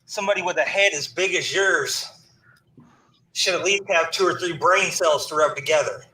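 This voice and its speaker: A man's voice: a little high, normal speed, bright, forceful tone, echoey room. A man in his forties who swears a lot and leaves a recorded rant, threatening in a mocking way. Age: 40s. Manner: profane, aggressive, mock-threatening. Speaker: caller leaving a recorded rant. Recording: distant mic with faint noise.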